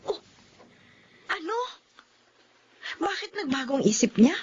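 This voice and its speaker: A woman acting an expressive, opinionated wife in a Filipino radio drama, with a Filipino accent. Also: high-pitched, takes it slowly, highs cut off, a little hiss or hum, full character voice. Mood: concerned.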